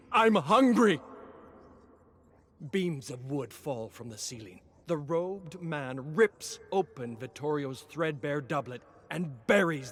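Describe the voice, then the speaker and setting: Slow, theatrical male narrator. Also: mid-range voice. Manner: theatrical, brooding, often hushed. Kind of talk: dramatic scripted narration.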